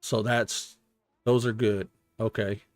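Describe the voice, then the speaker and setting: A man giving casual gaming stream commentary, explaining things hesitantly as he thinks out loud. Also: fairly low pitch, little pitch movement, slow pace, no room sound, clean studio sound, soft background music. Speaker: game streamer.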